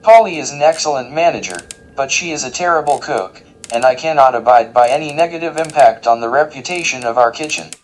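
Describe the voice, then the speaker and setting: A courteous, literal-minded robot played by an actor with a mid-pitched voice. It talks in formal, exact sentences with deadpan earnestness, over faint noise, in an audio drama. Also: brisk, few pauses, close to an everyday voice, poor audio. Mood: earnest, deadpan. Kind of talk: audio fiction acting.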